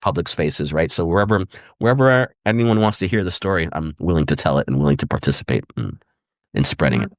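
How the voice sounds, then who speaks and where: Middle-aged man from Puerto Rico, low voice, quick reflective guest answers, dry close mic. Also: expressive, dry recording. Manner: thoughtful, repeats "right?" and "again". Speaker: guest historian. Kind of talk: conversational interview answers.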